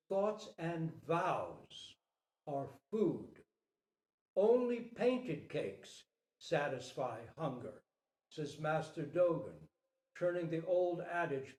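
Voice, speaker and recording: Male Zen teacher, higher-pitched voice, unhurried pace, emphatic storyteller's phrasing, dramatic pauses, scripted dharma talk. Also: darkish tone. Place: indoor hall at a Zen center. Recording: roomy, echoing space.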